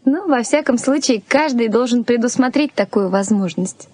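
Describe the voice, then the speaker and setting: High-voiced playful young woman, acted, radio drama. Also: moderate pace, a little mellow, dry recording, natural voice. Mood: light, teasing. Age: young adult. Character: playful, independent young bride-to-be, teasing her fiancé. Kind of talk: 1980s Soviet radio play acting. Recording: faint noise, old radio play recording.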